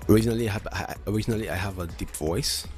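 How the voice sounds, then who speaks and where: A man's voice: fairly low pitch, racing, a little mellow, faint music behind. A man with a lisp who stutters and restarts words, rambling casually and self-deprecatingly as a streamer. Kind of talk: casual solo chat while testing mic settings.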